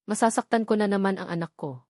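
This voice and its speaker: A woman's voice: medium pitch, fast talker, a little mellow, dry recording, studio quality. A woman with a Filipino accent reading a scripted first-person story as an audiobook narrator.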